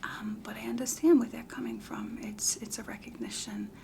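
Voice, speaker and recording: A woman playing a self-assured, chatty matchmaker in a comedy ASMR roleplay, whispering softly in a deliberate, confiding, matter-of-fact way. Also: on the high side, steady tone, heavy background noise. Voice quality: breathy whisper with heavy creak. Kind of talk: soft-spoken whispered ASMR roleplay questionnaire.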